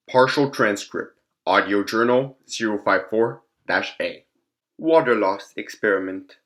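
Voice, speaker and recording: A man narrating a formal document in a clinical, measured and scripted way. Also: on the low side.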